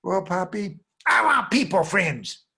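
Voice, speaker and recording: A man's voice: high voice, sing-song, normal speed, vocal fry, fairly bright tone, pitched above the performer's own voice, exaggerated voice. A man voicing a sad, lonely little farm girl with a whiny, pleading manner in a live folk tale. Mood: lonely, tearful. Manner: plaintive, pleading.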